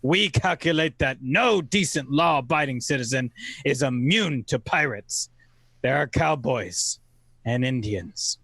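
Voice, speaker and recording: A man giving theatrical scripted narration in an old-time radio manner, oratorical and wry, with deliberate phrasing. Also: medium pitch, animated, gravelly, bright, forceful tone, breathy edge, no room sound.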